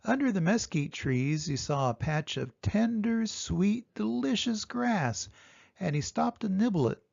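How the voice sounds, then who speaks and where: An older man reading a children's story aloud with expressive, dramatic emphasis. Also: high-pitched, a little slow, gravelly, no echo, dull audio. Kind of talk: animated children's storybook narration.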